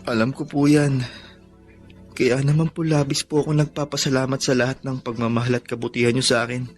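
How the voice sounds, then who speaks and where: A man's voice: mid-range voice, flat delivery, brisk, faint music behind, close to an everyday voice. A Filipino man playing a devoted, long-suffering son in a radio drama, earnest, plaintive and respectful. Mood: earnest, resigned. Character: devoted, suffering, long-abused son who scavenges for junk.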